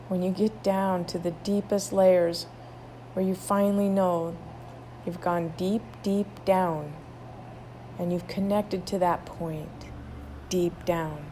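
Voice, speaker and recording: A woman leading a guided meditation, soothing, with drawn-out phrases and long pauses between them. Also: fairly low pitch, steady tone, mellow, a little hiss or hum.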